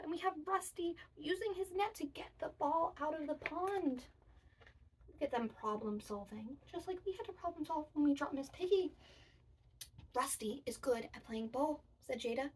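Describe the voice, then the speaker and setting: A woman with a fairly high voice leads a storytime for small children. She explains activities and reads aloud with sing-song emphasis. Her voice sounds slightly distant from the microphone. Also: normal speed, darkish tone. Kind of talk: demonstrating a children's storytime activity and reading picture books.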